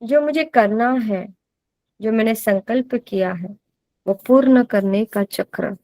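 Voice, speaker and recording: A woman with an Indian accent leads a guided meditation as a teacher, giving deliberate instructions and repeating phrases for emphasis. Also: fairly high pitch, a little mellow, dry recording.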